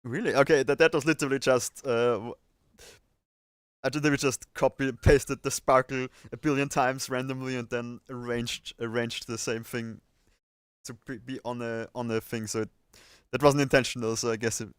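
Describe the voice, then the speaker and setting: A man's voice: mid-range voice, fairly even intonation, normal speed, clear, resonant tone, no echo, clean studio sound. A man chatting casually as the host of a livestream about his video editing work. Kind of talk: casual chat.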